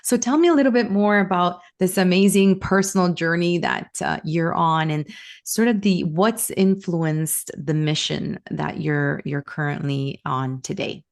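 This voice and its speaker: A middle-aged woman hosts a podcast, her fairly low voice edged with a gravelly fry. She delivers a polished scripted introduction and moves into friendly interview questions. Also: unhurried. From Canada. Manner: reads a polished introduction, then asks questions warmly. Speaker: podcast host.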